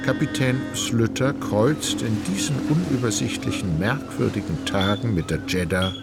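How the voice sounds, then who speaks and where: Measured male narrator over loud music. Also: on the low side, vocal fry. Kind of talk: literary scripted narration for radio play.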